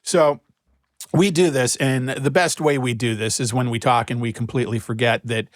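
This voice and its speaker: A man's voice: mid-range voice, steady tone, moderate pace, no echo, studio quality. A man hosting a podcast in casual chat, joking and teasing his co-host. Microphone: podcast microphone.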